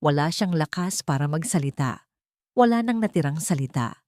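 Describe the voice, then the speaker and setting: A Filipino woman narrates a dramatic audiobook in a fairly low voice. Her delivery is measured and emotive, like scripted storytelling. The sound is dry and close, with little room on it. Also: expressive, a little mellow, no room sound.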